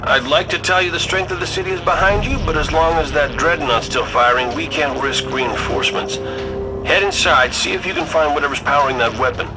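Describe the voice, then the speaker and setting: A man's voice: a little high, brisk, close to an everyday voice, poor audio. A man acting a wry, cocky rogue commander in a video game, with a breezy, sardonic, playful delivery. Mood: breezy briefing. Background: music behind the voice.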